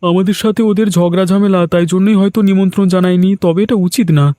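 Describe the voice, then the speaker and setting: A man's voice: sits high, steady tone, fairly quick, dark tone, no room sound, clean studio sound, pitched above the performer's own voice, lightly acted. A man voicing an earnest, dutiful young village son in a cartoon. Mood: resigned. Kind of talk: cartoon dubbing.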